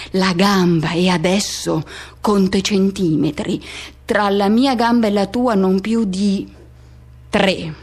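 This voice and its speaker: Woman playing a tormented man remembering teenage love, high voice, radio play monologue, halting phrases, insistent repetition. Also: close to an everyday voice. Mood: hushed, tense. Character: yearning, tormented man recalling his teenage first love. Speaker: actor. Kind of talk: radio theatre dramatic monologue.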